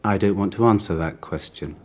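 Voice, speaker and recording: A man's voice: deep voice, a little fast, a little mellow. An actor playing a guarded, prickly businessman under questioning in a radio drama, curt and defensive. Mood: defensive, irritated.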